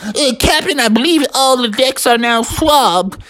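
A storyteller voicing a hapless, eager pirate first mate with a squealing, excitable manner in a children's story. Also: a little slow. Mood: eager, obedient. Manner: panicky high shrieks, eager obedient replies.

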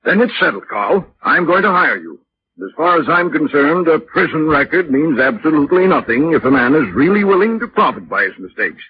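A man's voice: a little high, sing-song, brisk. A righteous, courteous man of means, formal and a little hesitant, with wide-ranging intonation. It is old-time radio drama, dull and faintly noisy. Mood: earnest, benevolent. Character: courteous, righteous wealthy husband and employer. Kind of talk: 1940s radio acting. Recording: muffled, noisy vintage radio broadcast.

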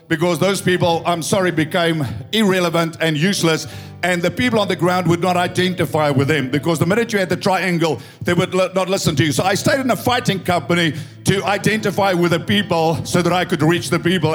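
A South African man in his fifties, a pastor preaching an emphatic, story-driven sermon with a tired voice. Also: high voice. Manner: emphatic, storytelling, swelling to loud proclamation. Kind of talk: impassioned sermon mixing English and Afrikaans. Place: church.

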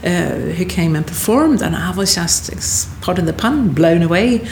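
A woman's voice: on the low side, lively intonation, moderate pace, a little mellow. A middle-aged woman with a Swedish accent, talking casually as an interview guest, thoughtful and hesitant with many fillers. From Sweden. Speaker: guest, Lord Provost. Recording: echoey room, faint background noise.

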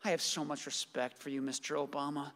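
An actor playing an excitable, adoring neighbor in a radio play, earnest and effusive in his devotion. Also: a little high, brisk, long reverb tail, natural voice.

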